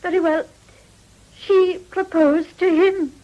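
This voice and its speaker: An actress playing a scandalised, melodramatic upper-class British wife in a period radio drama. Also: female voice, extremely high pitch, steady tone, slow, lo-fi sound. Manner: melodramatic, breathlessly indignant.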